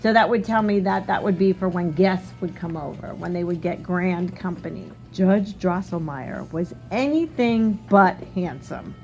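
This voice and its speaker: A woman reading a storybook aloud like a teacher, expressive and instructive, pausing to put questions to young listeners. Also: fairly low pitch, dull audio, faint music behind.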